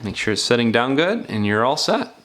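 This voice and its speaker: A man's voice: medium pitch, sing-song, a little fast, fairly bright tone. A man narrating a hands-on DIY repair tutorial in a casual, folksy, step-by-step way. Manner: folksy, informal, step-by-step phrasing. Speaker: DIY phone repair instructor.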